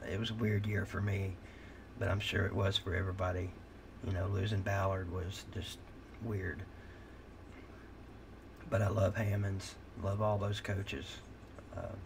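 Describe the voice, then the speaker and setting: Gravelly middle-aged man losing his voice. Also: low voice, background noise. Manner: rambling, candid, frequent restarts and swearing. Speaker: livestream host. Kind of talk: late-night casual livestream chat.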